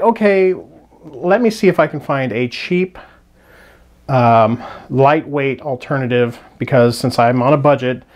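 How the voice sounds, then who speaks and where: A man hosting a hiking channel, reviewing a sleeping bag in a loose, rambling way with many restarts and fillers. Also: mid-range voice. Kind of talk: casual gear review.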